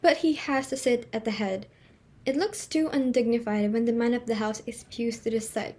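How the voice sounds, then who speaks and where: A woman's voice: on the high side, moderate pace, darkish tone, breathy edge. A woman playing a fussy, snobbish middle-aged society hostess and mother in a play reading, with a theatrical, exclamatory, fretful manner. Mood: harried, exasperated.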